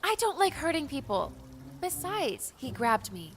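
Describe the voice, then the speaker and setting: A man's voice: extremely high pitch, very animated, a little slow, fairly bright tone, slight background noise, pitched above the performer's own voice, exaggerated voice. A man voicing a spirited, defensive young woman adventurer, matter-of-fact and challenging.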